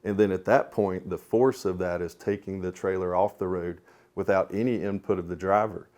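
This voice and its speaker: A man's voice: low-pitched, fairly even intonation, normal speed, a little mellow. A man giving an explanatory training talk as an instructor, in a plain-spoken, methodical, step-by-step way. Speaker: police sergeant and crash investigator, instructor.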